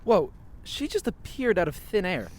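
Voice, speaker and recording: Actor playing young man, eager investigator, animated pitch, wry, sarcastic, urging, audio drama delivery. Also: moderate pace.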